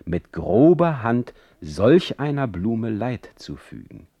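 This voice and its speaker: A man voicing a young, idealistic gentleman in a radio play, gallant and easily offended, speaking in high-flown courtly phrases. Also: sing-song, takes it slowly, no echo, lo-fi sound. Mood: reproachful, lofty. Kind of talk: quoted dialogue in literary radio play.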